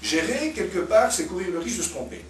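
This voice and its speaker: A man's voice: high voice, expressive, fast talker, a little hiss or hum. A man speaking off the cuff as an expert in a debate, setting out his points didactically and repeating words for emphasis. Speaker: invited expert in a political debate. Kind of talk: spontaneous argued commentary in a round-table debate. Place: roomy cafeteria, indoors. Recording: echoey room, distant mic, music behind.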